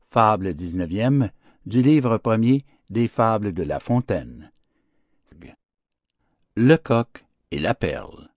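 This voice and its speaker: Slow, expressive male fable narrator. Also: lowish voice, no room sound, studio quality. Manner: declamatory, expressive. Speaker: audiobook narrator. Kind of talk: theatrical storybook fable narration.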